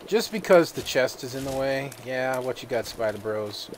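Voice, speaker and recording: A man who is feeling a little sick, giving relaxed let's-play commentary and thinking aloud with plenty of fillers. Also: mid-range voice, normal speed, slight background noise. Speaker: gaming video host.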